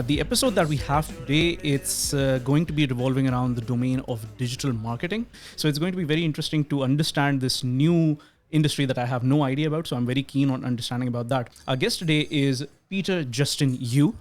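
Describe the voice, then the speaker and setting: A man's voice: mid-range voice, fast talker, faint music behind. A man hosting an interview podcast, introducing his guest earnestly and asking questions with many fillers and restarts. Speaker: podcast host.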